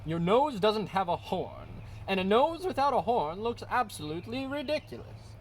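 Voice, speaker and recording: A woman voicing a blunt, superior male rhinoceros in a storybook, drawn-out and scornful. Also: medium pitch, wildly swooping pitch, a little hiss or hum, voice pushed up.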